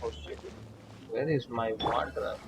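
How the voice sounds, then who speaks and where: A man's voice: medium pitch, steady tone, moderate pace, very noisy. A man giving casual live-stream commentary, muttering reactions over the game he is playing. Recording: muffled lo-fi mic over game music. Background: game music.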